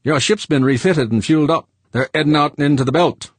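A man voicing a gruff, blunt colony boss. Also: on the high side, a little fast, dry recording, voice pushed up, close to an everyday voice. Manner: blunt, curt, swears. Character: gruff worried male colony leader.

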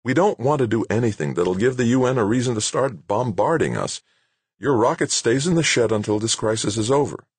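A man voicing a brisk, good-natured but firm woman director in an audiobook, plain-spoken and patient. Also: medium pitch, expressive, husky, dry recording, voice pushed up. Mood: snappy, firm. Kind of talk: acted audiobook dialogue.

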